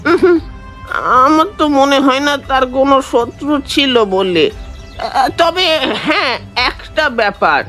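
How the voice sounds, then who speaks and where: An anxious servant woman acted by a woman in an audio drama, with a high, swooping voice. She speaks haltingly and unhurriedly in simple everyday words, over background music. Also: lively intonation, a bit bright, heavily acted. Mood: hesitant, uneasy. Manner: hesitant, halting, plain colloquial speech. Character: anxious female household servant being questioned.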